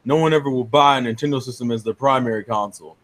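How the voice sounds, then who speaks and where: A man's voice: medium pitch, fast talker. A man co-hosting a podcast with casual, opinionated banter, arguing his points bluntly and with swearing. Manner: blunt, argumentative, profane. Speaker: podcast co-host.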